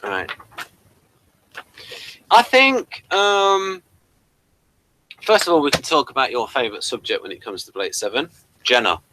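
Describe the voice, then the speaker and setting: A British man hosting a casual online review chat in a rambling, halting way. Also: medium pitch, sing-song, bright, forceful tone, a little hiss or hum, rough recording. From Britain. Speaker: host of online hangout. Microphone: webcam livestream setup.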